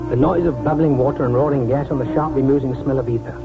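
An actor playing a wistful, aging village doctor in a 1940s radio drama, narrating in a measured, poetic way with deliberate pauses. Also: male voice, mid-range voice, natural voice. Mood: grave, deliberate. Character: wistful aging village doctor remembering his lost young wife. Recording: tinny 1940s radio broadcast, loud music.

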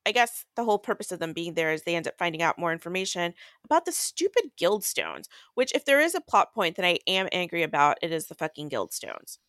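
Woman, slightly low voice, casual podcast recap, exasperated, blunt, sweary, dry sound. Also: wildly swooping pitch, fairly quick, few pauses, fairly bright tone, no echo. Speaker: podcast co-host. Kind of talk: casual book recap and commentary.

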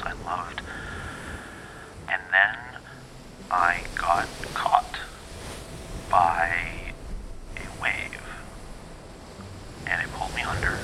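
A very low-voiced frightened man, lost and alone, murmurs an intimate audio drama monologue. The delivery is halting and breathy, heavy with gravelly creak, over clearly noisy ambience. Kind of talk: intimate first-person audio fiction monologue.

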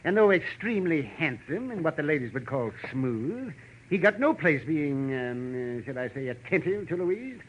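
Fussy, high-voiced, prim cartoonist. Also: male voice, sing-song, moderate pace. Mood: flustered, indignant. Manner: hesitant, fussy, precise. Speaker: actor in a radio drama. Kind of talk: old-time radio acting. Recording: muffled, hissy old radio recording.

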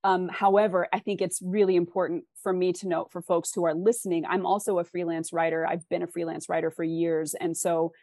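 A woman's voice: on the low side, flat delivery, a little fast, few pauses, warm tone, dry recording. A woman speaking as an author guest in a conversational interview, giving candid, encouraging and emphatic advice.

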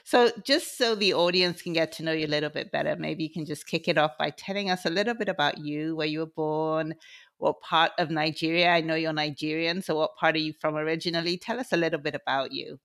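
A woman's voice: sits low, brisk, a bit bright. A woman hosting a podcast, asking encouraging questions and prompting her guest again and again. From England. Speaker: podcast host. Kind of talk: casual podcast interview.

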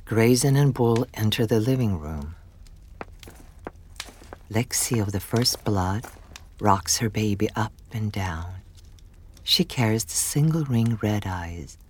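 A man's voice: on the low side, animated, slow pace, pauses often, a little mellow, a little hiss or hum. A man reading scripted scene narration for an audio drama.

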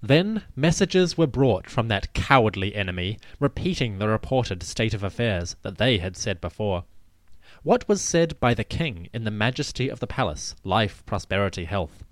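A man's voice: on the low side, sing-song, normal speed, no room sound. A man narrating scripted history as a dramatic, stately and emphatic storyteller. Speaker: host and narrator.

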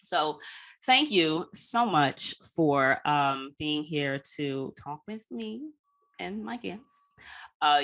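A woman's voice: sits low, a bit bright. A woman hosting a podcast in casual chat, talking in a playful, slangy way and swearing freely. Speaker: podcast host.

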